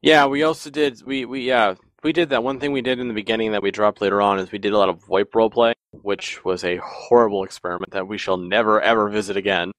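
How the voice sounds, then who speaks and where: Low-voiced man rambling through stories. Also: fast talker, hardly any pauses, dry recording. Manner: rambling, animated storyteller who often laughs. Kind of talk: casual podcast chat.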